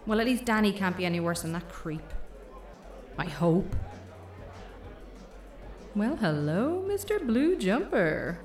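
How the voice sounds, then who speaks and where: A woman acting in an audio comedy drama as the mischievous, mouthy inner voice of a young woman weary of dating, sardonic, exasperated and quick to swear. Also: mid-range voice, sing-song, darkish tone, echoey room, distant mic, faint music behind. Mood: sardonic, searching.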